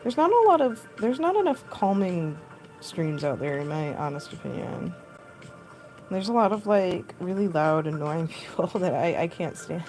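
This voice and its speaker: A young woman with a low, deep voice and a gravelly edge chats loosely as she works, full of fillers and quick laughs. Her tone is mellow and dark rather than bright. She is picked up by a phone mic, with faint music underneath. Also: sing-song, rough recording. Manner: rambling, filler-heavy, laughs often. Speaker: costume artist streaming live. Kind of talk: casual chat while sculpting.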